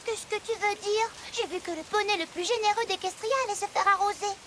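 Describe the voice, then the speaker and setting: A woman's voice: very high-pitched, fairly quick, a bit bright, slight background noise. A woman voicing a brash young female pony in a cartoon, with a casual, breezy, cocky manner. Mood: breezy, flattering. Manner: casual, breezy, feigning innocence. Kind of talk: cartoon dub acting. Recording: music behind the voice.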